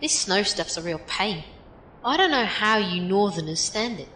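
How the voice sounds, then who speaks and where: A woman's voice: lively intonation, normal speed, fairly bright tone, lightly acted. A woman playing a breezy, blunt, tough female teammate in an audio drama, talking in a casual, folksy, direct way. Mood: casual, grumbling. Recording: echoey room with faint noise, rumble.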